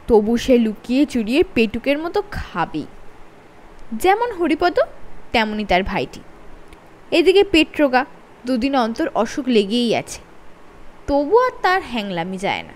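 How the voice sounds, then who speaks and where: High, lively woman storyteller. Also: measured pace, long pauses. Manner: expressive, sing-song storytelling with playful emphasis. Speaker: storyteller and channel host. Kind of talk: reading a children's story aloud.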